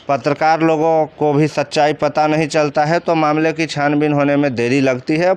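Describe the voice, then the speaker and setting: A man's voice: on the high side, smooth. A man with a Bihari accent giving informal commentary in an interview, explaining colloquially and often answering his own questions. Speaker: commentator interviewed about crime cases.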